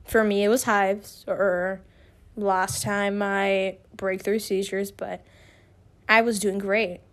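Young female podcast host, a bit raspy from illness, candid rambling delivery. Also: mid-range voice. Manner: candid, rambling, with frequent restarts. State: raspy from feeling unwell. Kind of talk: casual personal podcast monologue.

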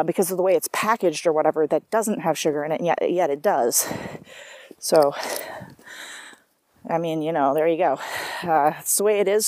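A chatty woman rambles through a personal monologue, doubling back on herself and adding asides. Her voice sits a little low for a woman. The recording is close and dry, with almost no room sound. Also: darkish tone, no room sound. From South Africa. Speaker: vlogger.

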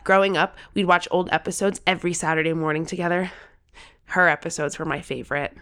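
A woman's voice: on the low side, steady tone, a little fast, fairly bright tone, smooth, close to an everyday voice. A woman playing an earnest, eager thirteen-year-old kid with a heartfelt storytelling manner. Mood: wistful, earnest.

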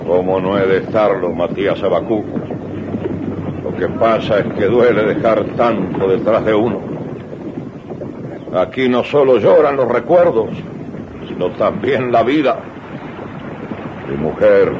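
A muffled, noisy old radio drama recording. a weary general going into exile, speaking very slowly in a solemn, rhetorical way. His voice is low and heavy with grief. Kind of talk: 1980s radio drama acting.

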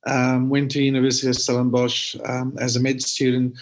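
A man with a South African accent, a rural doctor being interviewed, talking casually with many pauses and restarts. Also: mid-range voice, completely flat delivery. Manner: hesitant, many ums and restarts.

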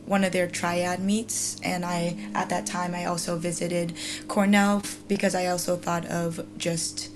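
A woman's voice: fairly low pitch, little pitch movement, a little slow, music in the background. A young woman in her twenties, a student athlete whose first language is Mandarin, answering interview questions with many hesitant ums. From China. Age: 20s.

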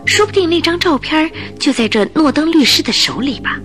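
A woman narrating a radio drama in Chinese, in an expressive, scripted storytelling style. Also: high voice, a little fast, faint music behind.

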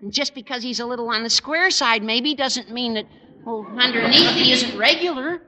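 An actor playing an eager, cocky teenage high school boy in a 1950s radio sitcom, gushing in slangy, emphatic bursts. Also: moderate pace, background noise. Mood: earnest. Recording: old radio recording in reverberant hall.

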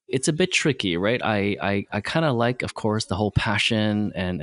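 A man's voice: on the low side, dry recording. A middle-aged man who grew up speaking Vietnamese, talking as a podcast guest in plain, simple words, often restarting and ending points with "right?". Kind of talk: casual conversation.

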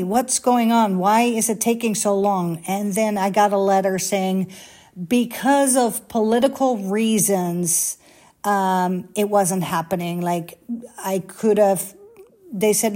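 A middle-aged woman with a Dutch accent tells her story casually, drifting into asides and correcting herself as she goes. Her voice sits at a mid pitch with a mellow, darker color. She sounds like someone chatting to her listeners. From Netherlands. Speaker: podcast host, former flight attendant.

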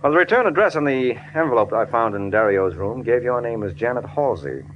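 An actor playing a cool, sardonic hard-boiled American detective in 1940s radio style. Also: male voice, medium pitch, big pitch swings, fairly quick, muffled recording, natural voice. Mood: wry. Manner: sardonic, laconic, teasing. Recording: noisy old radio drama recording.

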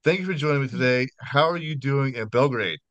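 A man's voice: mid-range voice, fairly quick, fairly bright tone, no echo, highs cut off. A man hosting a horror podcast interview over a video call, chatty and apologetic, often restarting his sentences. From United States. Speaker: podcast host.

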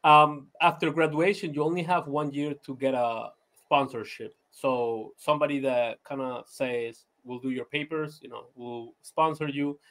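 A man's voice: medium pitch, fairly even intonation, normal speed, a bit bright. Laid-back Venezuelan-born man, chatty. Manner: laid-back, laughs often, many "you know" fillers and restarts. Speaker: podcast guest. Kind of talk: casual interview chat.

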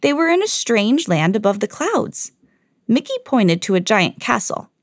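A woman storyteller hosting a podcast, reading a children's fairy tale expressively with clear enunciation. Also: on the high side, very animated, a little slow, no echo, clean studio sound.

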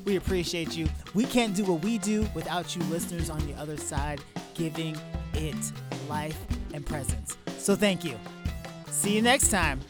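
A male host delivers a scripted podcast outro, reading out credits. Loud music plays behind his voice. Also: a little high, normal speed.

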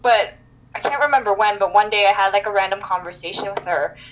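A woman from Canada chatting as a podcast guest, full of "like" and "um" as she restarts her thoughts. Her voice sits at an ordinary middle pitch. The sound is dull and lo-fi, like remote call audio. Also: quick pace. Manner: chatty, many fillers and restarts. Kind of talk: casual interview chat.

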